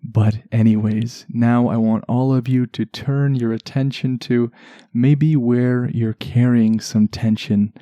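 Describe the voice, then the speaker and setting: A man hosting a relaxation podcast in a soft, soothing voice, chatting gently and without hurry, lulling enough to send listeners to sleep. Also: medium pitch, fairly even intonation, dry recording. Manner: gentle, unhurried, chatty asides. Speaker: podcast host.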